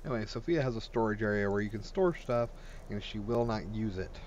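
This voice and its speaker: A man's voice: fairly low pitch, a little fast, darkish tone, slight background noise. A man giving casual, blunt and sweary commentary while he plays and reviews a video game. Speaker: gamer reviewing a game mod.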